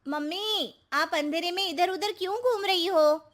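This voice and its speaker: A woman's voice: very high voice, a little slow, fairly bright tone. A woman playing a timid, nagging younger Indian woman in a comic cartoon story, pleading and complaining. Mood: nervous. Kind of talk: comic Hindi animated story acting.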